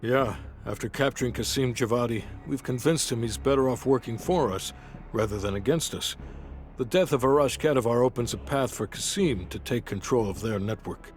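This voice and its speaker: A man's voice: medium pitch, normal speed, faint music behind, natural voice. An actor playing a seasoned American intelligence officer in a video game, controlled and matter-of-fact in his reporting. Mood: businesslike. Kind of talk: cinematic video game acting.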